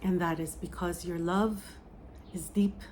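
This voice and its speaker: A Filipino-accented woman speaks as a psychic medium, her voice sitting a little low. She talks very slowly and softly, leaving long meditative pauses as she reads cards and channels a message. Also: steady tone, slight background noise. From Philippines. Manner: slow, soft, meditative delivery with pauses. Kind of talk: oracle reading and channeled message.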